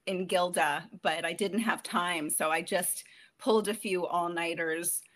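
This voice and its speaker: A woman's voice: lowish voice, steady tone, measured pace, a bit bright. A woman in her thirties from Ontario, Canada, a guest actress chatting in an interview, telling stories and laughing often. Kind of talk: casual interview chat.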